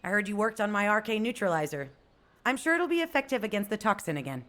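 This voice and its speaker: A direct, assured young female fighter from a video game. Her pitch swings expressively, and she has a combative confidence. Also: sing-song, quick pace, a bit bright. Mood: confident.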